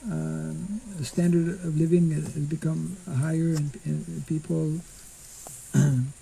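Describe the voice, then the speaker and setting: An older monk giving an informal scripture class outdoors into a stand mic, speaking slowly and haltingly and clearing his throat often. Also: a little high, little pitch movement, warm tone, a little hiss or hum, low-quality recording. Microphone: stand mic in front.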